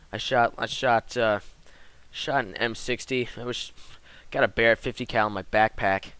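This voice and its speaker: A man's voice: mid-range voice, a bit bright, clean tone, no echo. Rambling teenage boy with a cold. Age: teens. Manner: rambling, frequent "like" and "um" fillers. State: slightly hoarse from a cold. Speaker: gaming commentator. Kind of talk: casual commentary over gameplay.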